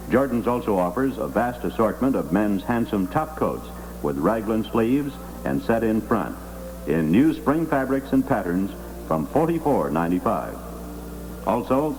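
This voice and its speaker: An American man reading scripted news and advertising copy as a radio announcer on an old broadcast recording. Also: lowish voice. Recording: noisy old 1960s radio aircheck with hum. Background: clear noise and hum.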